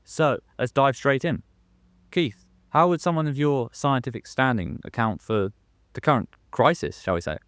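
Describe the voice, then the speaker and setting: Young man acting a halting radio news presenter. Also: mid-range voice, animated, fairly bright tone, no echo, natural voice. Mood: composed, formal. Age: young adult. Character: polite radio news presenter turning nervous and flustered. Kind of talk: modern radio drama acting.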